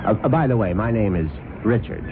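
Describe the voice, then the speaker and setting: A sardonic former beach bum and fortune hunter, played by a man, telling his tale in a low, dry voice. The sound is thin and tinny like an old radio broadcast, with steady hiss underneath. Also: brisk, natural voice. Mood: evasive. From born in London. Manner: dry, wry first-person narration. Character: charming male beach bum turned fortune-hunting husband. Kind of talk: 1970s radio drama acting.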